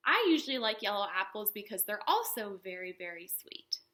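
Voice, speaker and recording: A woman hosting a storytime for young children, teaching in simple words with an animated, sing-song manner and questions to her small viewers. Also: mid-range voice, fairly quick. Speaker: children's librarian, storytime host.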